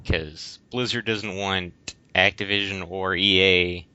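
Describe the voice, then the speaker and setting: A very low male voice with a forceful, bright edge, talking casually and haltingly as a podcast co-host. The sound is dry and slightly dull. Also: steady tone, smooth, no room sound. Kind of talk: casual discussion of video games. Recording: dull lo-fi close voice recording.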